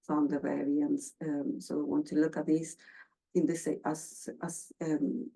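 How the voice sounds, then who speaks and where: Argentine lady giving a research talk, low mellow voice, lots of ums and restarts. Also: low-quality recording. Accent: Argentine Spanish. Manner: halting, many fillers and restarts. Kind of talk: academic presentation.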